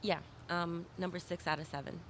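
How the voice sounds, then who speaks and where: Lower-voiced woman over clear background noise. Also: steady tone, brisk. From born in Malawi, grew up in Saint Louis, USA. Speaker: victim advocate, interview guest. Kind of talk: conversational interview answers.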